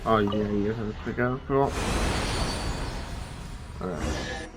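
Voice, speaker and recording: A man's voice: on the low side, takes it slowly, over music. A man doing casual gameplay commentary for an audience in a mumbly, rambling, self-deprecating way. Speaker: gamer playing for an audience.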